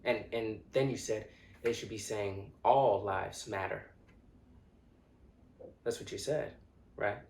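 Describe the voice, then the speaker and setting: An actor playing an earnest, indignant young man who confronts strangers in a dramatic monologue, speaking deliberately with hesitant pauses and insistence. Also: lowish voice, gravelly, a little mellow, a little hiss or hum. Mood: polite, restrained. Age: young adult. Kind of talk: dramatic monologue, conversational direct address. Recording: echoey room.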